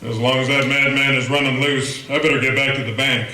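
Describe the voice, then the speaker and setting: A man's voice: medium pitch, flat delivery, brisk, bright, forceful tone. A man playing a puzzled, worried small-town banker who keeps asking questions. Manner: questioning, fretful. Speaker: town banker Benson Bailey. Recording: roomy echo, a bit distant, faint hiss.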